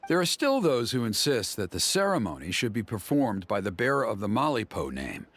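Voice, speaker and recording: Animated, ceremonious game elder. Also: male voice, lowish voice, no room sound, exaggerated voice. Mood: pleased, businesslike. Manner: formal, measured, approving. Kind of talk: video game character acting.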